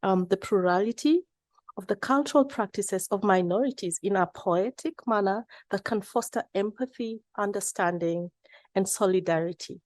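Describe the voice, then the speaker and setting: A woman's voice: mid-range voice, normal speed, a little mellow, no echo. Woman presenting an award, dry sound. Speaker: ceremony presenter. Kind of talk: semi-scripted award introduction. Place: award ceremony stage.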